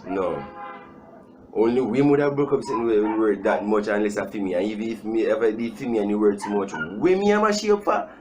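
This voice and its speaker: A young man with a Jamaican accent chatting casually as a video host, animated and joking, repeating himself for emphasis. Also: fairly low pitch, a little fast. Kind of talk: casual storytime chat. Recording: music playing behind the voice.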